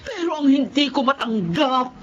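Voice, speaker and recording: A devoted mother wronged by others in a Filipino radio melodrama, emotional and indignant. Her pitch swings widely, and the recording is dull with music clearly underneath. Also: expressive, moderate pace. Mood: angry, hurt.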